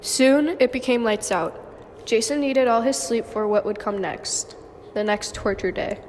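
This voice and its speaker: A woman's voice: fairly high pitch, steady tone, unhurried, darkish tone. A young woman reading scripted story narration for an amateur radio drama. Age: young adult. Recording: noisy hall with long reverb.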